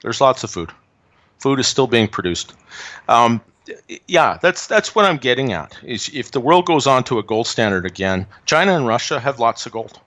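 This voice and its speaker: A man's voice: medium pitch, very animated, normal speed, a bit bright. A man giving opinionated, emphatic commentary on politics and economics in a casual chat. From Canada. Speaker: guest commentator.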